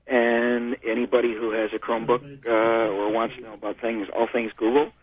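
Rambling caller over a tinny phone line. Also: male voice, mid-range voice, little pitch movement, normal speed, slightly rough. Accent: Western New York. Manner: friendly, rambling, many fillers. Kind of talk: casual call-in chat.

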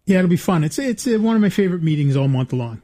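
Chatty high-voiced man in his sixties. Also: expressive, quick pace, dark tone. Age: 60s. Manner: chatty, laughs easily, restarts often. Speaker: radio host. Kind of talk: casual call-in radio talk.

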